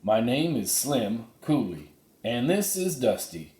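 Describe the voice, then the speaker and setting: A man voicing a tough but kindly storybook cowboy with folksy, drawn-out talk. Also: medium pitch, very animated, a little mellow, voice pushed up. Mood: wary, folksy. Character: plain-spoken, tough but kindly cowboy horse herder. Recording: roomy, echoey sound.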